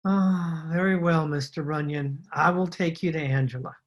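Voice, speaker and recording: Woman playing a husky, nervous little swindler man. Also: low voice, steady tone, moderate pace. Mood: pleading, frightened. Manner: hesitant, stammering, formally polite. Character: nervous, evasive, polite foreign man, petty swindler. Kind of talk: old-time radio detective acting. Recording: home recording over video call.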